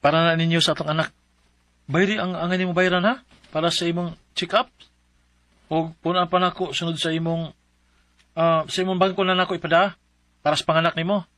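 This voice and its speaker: A man's voice: on the high side, little pitch movement, brisk, long pauses, fairly bright tone, no room sound, close to an everyday voice. An actor playing an earnest, dutiful man in his thirties with a Cebuano accent in a radio drama. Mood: earnest, responsible. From Philippines. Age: 30s. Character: earnest, dutiful young Cebuano man, troubled letter-writer. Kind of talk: Philippine radio drama acting with letter narration.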